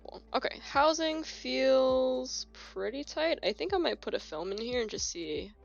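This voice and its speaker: A young woman streaming from her home desk, chatting casually in a rambling, relaxed way, a bit sniffly, recorded on a phone on a holder. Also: high-pitched, a little slow, creaky. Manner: rambling, relaxed, many fillers and restarts. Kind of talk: casual live-stream chat while working on keyboard switches. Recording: slightly dull lo-fi phone mic with faint music. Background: faint music and keyboard clicking.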